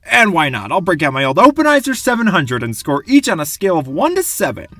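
Lively high-pitched young man narrating. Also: fast talker, no echo. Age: young adult. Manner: animated, wry, casual swearing. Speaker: video essay narrator. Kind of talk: scripted comic narration about video games. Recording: dry voice-over with music behind.

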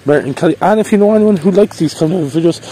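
A man's voice: high voice, fast, mellow, a little hiss or hum. A man, chatting casually and rambling as he walks a snowy park path, talking into a phone held at arm's length. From Ireland. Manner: chatty and rambling, playful sing-song bursts. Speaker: vlogger. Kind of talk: casual walking vlog. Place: outdoor park path in falling snow. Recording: faint outdoor ambience.

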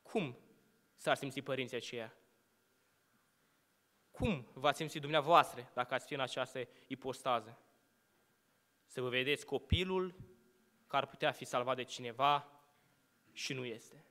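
A young man preaching a sermon from a lectern, asking rhetorical questions and letting his phrases fall to hushed endings. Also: mid-range voice, long pauses. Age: young adult. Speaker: student preacher. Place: church hall at a lectern. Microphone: lectern microphone. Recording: long hall reverb.

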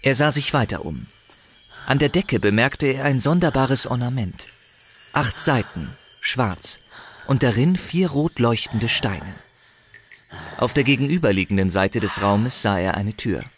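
A man's voice: medium pitch, animated, measured pace, slight background noise. A man narrating a scripted fantasy audio drama in a theatrical, suspenseful storytelling manner. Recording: voice under loud music. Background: loud dramatic music.

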